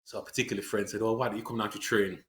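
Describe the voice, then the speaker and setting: A man's voice: lowish voice, flat delivery, rapid-fire, fairly bright tone, a little hiss or hum. A man, speaking earnestly as an interview guest, with frequent fillers and restarts. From England. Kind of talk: reflective conversational interview.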